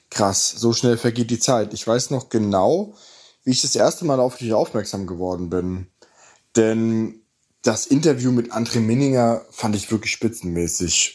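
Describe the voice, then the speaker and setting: A man's voice: mid-range voice, a little mellow, slightly rough. A man giving an informal congratulatory message, chatty and appreciative. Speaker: author and former podcast guest.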